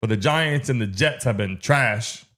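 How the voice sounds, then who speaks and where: A man's voice: mid-range voice, measured pace, a crowd in the background. A man hosting a live sports stream, casually hyping up viewers in slang and repeating his phrases. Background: game broadcast and commercials.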